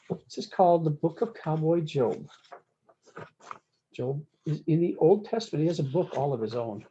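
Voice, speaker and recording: A woman with a soft, mellow voice reads a rhyming storybook aloud. Her delivery is folksy and speaks directly to listeners. The recording is slightly dull and lo-fi. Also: deep voice. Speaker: story time host reading a picture book. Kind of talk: read-aloud of a rhyming cowboy storybook with brief asides.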